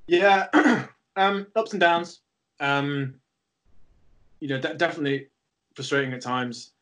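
A man's voice: on the high side, a little slow, fairly bright tone. A man, talking loosely and swearing in a casual podcast chat. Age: 30s. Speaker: podcast co-host.

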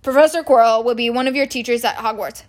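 A woman voicing a huge, genial man, brisk and hearty, in a storybook reading. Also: fairly high pitch, lightly acted.

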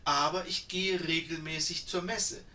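A man's voice: on the high side, fairly even intonation, a little slow, pushed and bright, distant mic, voice pushed up. A man voicing a kind, breathless, plump old village woman with an eager, fussing manner. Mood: chatty.

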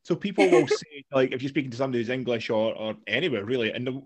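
A man's voice: medium pitch, very fast. Blunt, sweary Scottish man. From Scotland. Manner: blunt, sweary, rambling with restarts. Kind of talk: casual chat.

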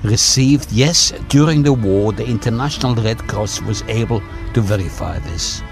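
A man in his seventies giving a personal testimony in a radio interview, speaking in a reflective, measured way with frequent pauses. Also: lowish voice, vocal fry, over music. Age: 70s.

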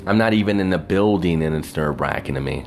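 A man's voice: a bass voice, fast talker, vocal fry. A man talking alone in a rambling, reflective way, wistful and full of "you know", with faint music behind him. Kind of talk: rambling personal monologue.

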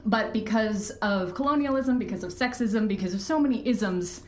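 A woman's voice: medium pitch, normal speed, darkish tone. A woman talking candidly as an interview guest, earnest and emphatic in how she explains things. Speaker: podcast creator, interview guest. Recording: roomy, echoey sound.

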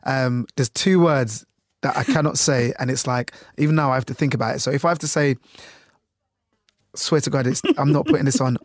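Relaxed Jamaican man, dry studio sound. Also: medium pitch, fairly quick, dry recording. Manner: loose, dry and chatty, with frequent fillers. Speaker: radio news presenter. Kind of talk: relaxed interview chat.

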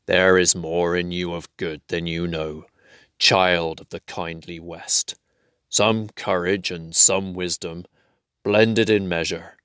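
A man voicing a dying male dwarf king who speaks slowly and gravely. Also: low-pitched, fairly bright tone, dry recording, exaggerated voice. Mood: tender. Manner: slow, grave. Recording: dry close recording.

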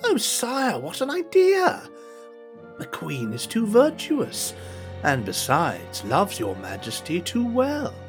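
A man's voice: sits high, wildly swooping pitch, takes it slowly, music in the background, full character voice. An actor playing a scheming, deferential cardinal advising a king in a period audio drama, with a formal, insinuating, courtly manner.

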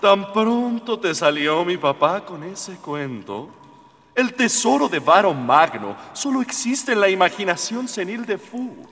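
A man's voice: sits high, big pitch swings, unhurried, fairly bright tone, big-room sound, full character voice. A man playing a gallant, idealistic young alien rebel prince in a comic radio drama, earnest and formal. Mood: explaining, firm.

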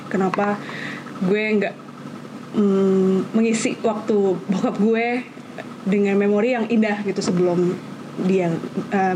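A woman, reflecting candidly and searching hesitantly for words. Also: medium pitch. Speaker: panel participant, working mother. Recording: clear background noise.

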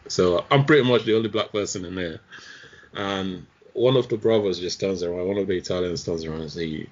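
A man in a casual group discussion, speaking in a measured, reflective way with frequent pauses. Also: low-pitched. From outside the UK. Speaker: panel guest. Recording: dull lo-fi sound.

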